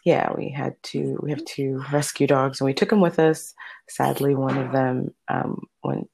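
A woman's voice: extremely low pitch, steady tone, normal speed, vocal fry, a little mellow, slightly rough. A woman in her thirties, a native Spanish speaker, chatting informally as an interview guest and telling stories as an opera soloist. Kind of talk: casual conversational storytelling.

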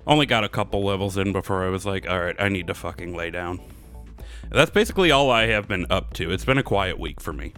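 A man's voice: sits low, expressive, quick pace, fairly bright tone, faint music behind. A man chatting casually as a gaming podcast host, laid-back and a little apologetic, with loose rambling stories and casual swearing.

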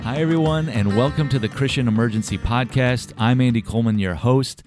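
A man hosting a podcast, talking earnestly and at a measured pace with some fillers, his voice slightly scratchy from a sore throat. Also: medium pitch, music in the background. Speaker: podcast host. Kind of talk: podcast monologue on ministry travels.

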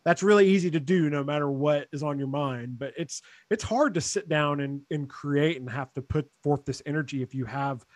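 Man, fairly high voice, young adult, podcast host, easygoing rambling questions, dry sound. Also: moderate pace, hardly any pauses, no echo. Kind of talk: casual interview chat.

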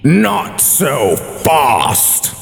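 Parody supervillain, pompous and evil, high-pitched with wild swoops, gravelly, reverberant. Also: male voice, wildly swooping pitch, soft background music, exaggerated voice. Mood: gloating menace. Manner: grandiose, drawn-out villain declarations. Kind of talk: superhero parody audio drama. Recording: echoey hall reverb.

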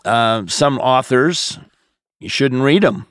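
An American man speaking as a pastor, answering questions in a measured, explanatory, conversational podcast style. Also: medium pitch, expressive, no room sound, clean studio sound.

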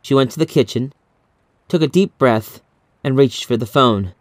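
Guy narrating a horror audiobook, mid pitch, measured and suspenseful, really dry close mic. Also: no room sound. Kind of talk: scripted horror story narration.

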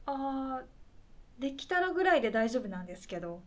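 A woman's voice: high-pitched, very animated, normal speed. A woman acting in a conversational radio drama as a polite welfare caseworker, patient, reassuring and a little tentative. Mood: polite, professional.

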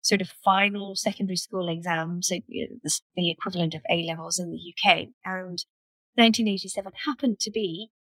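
A woman's voice: on the low side, fairly even intonation, brisk, fairly bright tone, no echo, rough recording. A woman in her fifties with an Irish accent, giving conversational answers as a panel guest on a video call. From Ireland. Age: 50s. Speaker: panel guest, engineering director.

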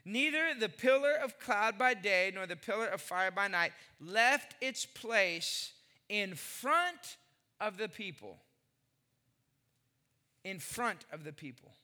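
A man's voice: sits high, animated, takes it slowly, sharp tone, big-room sound. A man in his forties preaching a sermon, emphatic, repeating phrases and putting questions to the congregation. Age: 40s. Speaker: pastor.

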